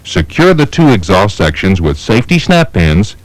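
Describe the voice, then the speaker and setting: Low-voiced man narrating step-by-step instructions. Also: very animated, no echo, rough recording. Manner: measured, methodical, step-by-step delivery. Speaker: training film narrator.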